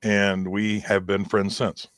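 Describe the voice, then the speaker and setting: A man with a low voice talks as a guest on a casual show. He wanders through his stories with restarts and chuckles at himself often. The recording is close and dry, with no room sound. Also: little pitch movement, slow, a bit bright, no echo. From Irish heritage. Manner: rambling, laughs often, self-deprecating. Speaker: guest musician. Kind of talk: casual interview chat.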